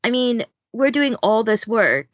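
A woman's voice: a little high, sing-song, a little slow, no room sound. A woman chatting casually as a podcast host, candid and self-deprecating, on a slightly muffled recording. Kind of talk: casual group chat.

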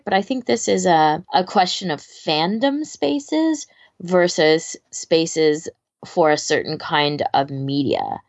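A woman's voice: fairly low pitch, lo-fi sound. A woman co-hosting a casual podcast discussion, thinking aloud in a tentative, hedging way. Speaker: podcast co-host.

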